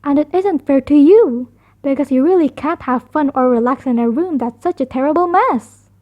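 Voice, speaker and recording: A woman's voice: sits high, normal speed, lo-fi sound, lightly acted. A woman voicing a firm, reasonable father bear in a storybook. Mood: firm, lecturing.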